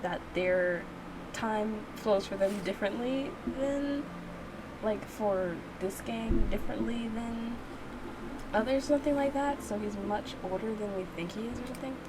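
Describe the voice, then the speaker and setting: A woman hosting a gameplay reaction, talking casually and haltingly as she thinks aloud and repeats words. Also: fairly high pitch, very noisy. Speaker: gaming channel host reading visual novel dialogue aloud.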